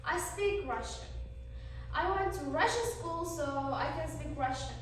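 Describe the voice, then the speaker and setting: A sixteen-year-old girl from Uzbekistan, a native Uzbek speaker, giving a class presentation slowly and carefully, with pauses and fillers. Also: sits high, expressive. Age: teens. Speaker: exchange student presenter. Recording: roomy, distant, faint noise.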